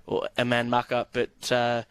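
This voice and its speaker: A man's voice: mid-range voice, measured pace, clear, resonant tone. An Australian man co-hosting a harness racing podcast, chatting casually with lots of hesitations and fillers. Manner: hesitant, filler-heavy, even-toned.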